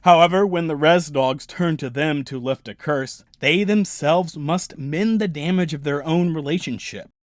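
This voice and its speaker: A man narrating a video essay in a measured, analytical way. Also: fairly high pitch, no room sound, studio quality. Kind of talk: scripted narration.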